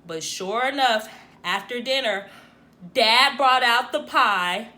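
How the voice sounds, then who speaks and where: A woman reading a children's picture book aloud in an expressive, theatrical way, like a teacher acting it out for young pupils. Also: a little high, takes it slowly. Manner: theatrical, acts out the dialogue.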